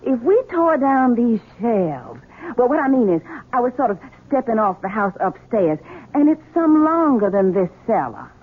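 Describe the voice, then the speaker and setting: A prickly wife. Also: female voice, a little high, very animated, normal speed, husky. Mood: chatty. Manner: curt and prickly, easily dazzled. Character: proud Southern wife, sharp and stubborn. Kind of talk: 1970s radio drama acting. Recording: lo-fi phone-like old radio broadcast.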